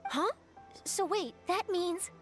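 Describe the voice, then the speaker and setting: An actress voicing a polite, earnest and persuasive young reporter in an anime game, speaking articulately. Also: female voice, very high voice, animated, takes it slowly, full character voice. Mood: curious. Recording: music behind the voice.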